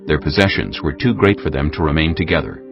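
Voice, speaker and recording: Male narrator, deep register, measured scripture reading, animated melody, slightly dull recording, clear musical backing. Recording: dull, muffled audio under music.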